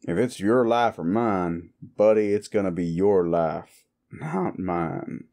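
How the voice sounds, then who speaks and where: A man playing a gruff, world-weary cowboy bounty hunter in a Western audio roleplay, with a folksy, threatening yet wry manner. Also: low-pitched, expressive, slow, mellow, heavily acted. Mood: wary, firm. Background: guitar music.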